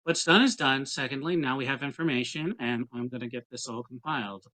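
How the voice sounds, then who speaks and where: Bright, crisp-edged man at game table. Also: medium pitch, a little fast, rough recording. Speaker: player in a tabletop roleplaying game. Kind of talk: casual game chat, partly in character.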